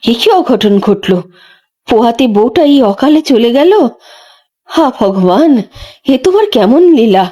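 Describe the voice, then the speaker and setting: A woman's voice: high voice, darkish tone. A woman voicing a weary, careworn village midwife in a dramatised horror story, speaking in a colloquial, lamenting way. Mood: shocked, lamenting. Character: weary, careworn village midwife, a caring but burdened wife.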